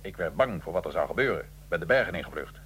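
A man playing a condemned Italian revolutionary in a radio drama, recounting his life in a measured, dry, ironic way. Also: deep voice, expressive, a bit bright, a little hiss or hum. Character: Italian male revolutionary prisoner, condemned, dryly matter-of-fact. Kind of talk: radio drama acting, interview-style storytelling.

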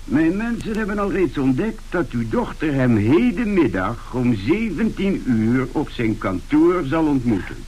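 An actor playing a pompous, mock-formal, self-assured private detective with a husky voice in a radio play. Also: male voice, on the high side, very animated, measured pace, hardly any pauses, a little mellow, slight background noise. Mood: self-important.

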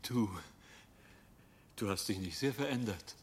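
A man's voice: on the low side, a little slow, close to an everyday voice. A man playing a polite, bewildered man in his sixties in a radio play, hesitant and courteous. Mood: surprised, warm. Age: 60s. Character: polite, bewildered man nearing retirement, gently awkward. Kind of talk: German radio play acting.

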